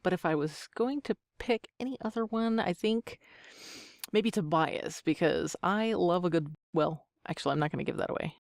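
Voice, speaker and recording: A woman's voice: fairly low pitch, normal speed, vocal fry, dry recording, studio quality. A woman in her thirties answering interview questions as an amateur voice actor, with a joking, self-deprecating manner. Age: 30s.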